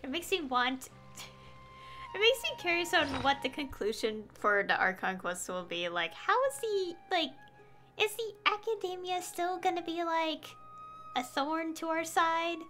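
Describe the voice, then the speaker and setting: A woman chats casually on a game stream. Her voice is high, bright and very animated, rambling and excitable, with faint game music behind. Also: unhurried. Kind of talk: casual live stream commentary.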